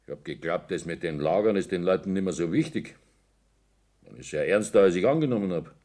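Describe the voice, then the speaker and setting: An actor playing a self-serving middle-aged building contractor, chummy and calculating, with a Bavarian accent. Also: male voice, sits low, expressive, brisk, darkish tone. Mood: worried, scheming. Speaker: actor in a radio play. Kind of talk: acted dialogue in a regional satire.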